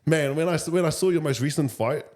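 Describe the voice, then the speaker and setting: A man's voice: fairly high pitch, fast talker, a little mellow. A man with a New Zealand accent hosting a casual interview, enthusiastic, matey and informal. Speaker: podcast host.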